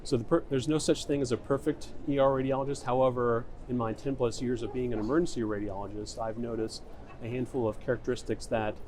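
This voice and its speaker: A middle-aged man giving measured, explanatory interview answers as a medical specialist, often restating his point. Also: medium pitch, steady tone, darkish tone. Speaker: emergency radiologist being interviewed. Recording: clear ambient venue noise. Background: background ambience noise.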